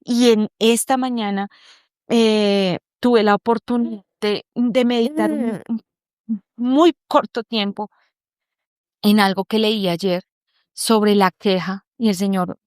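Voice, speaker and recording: A woman's voice: mid-range voice, fairly even intonation, slow, darkish tone, clear, resonant tone, no echo, studio quality. A woman speaking earnestly and reflectively over a video call, giving a personal faith testimony to an online group and searching for her words as she goes. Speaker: group member sharing a testimony.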